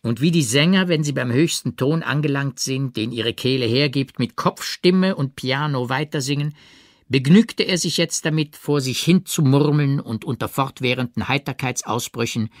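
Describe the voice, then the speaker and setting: A man's voice: medium pitch, normal speed, no room sound. Man reading a literary audiobook, dry sound. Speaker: audiobook narrator. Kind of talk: literary scripted narration.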